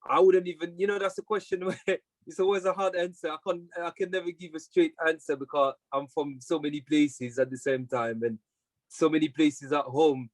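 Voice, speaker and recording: A laid-back man from France talks with a slightly raised pitch, often laughing as he rambles. The recording is dry and close, with no room sound. He speaks in an easy, unhurried interview tone. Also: fairly even intonation, dry recording, low-quality recording. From France, family from Martinique. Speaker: reggae artist guest. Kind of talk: relaxed conversational interview.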